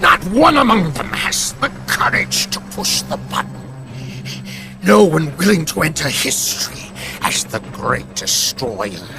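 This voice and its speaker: An actor playing a zealous, calmly menacing villain in a video game, speaking in a theatrical, pontificating manner. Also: male voice, on the high side, measured pace, creaky, full character voice. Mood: scornful conviction. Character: zealous, calmly menacing male villain with nuclear deterrence theory. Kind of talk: dramatic video game voice acting.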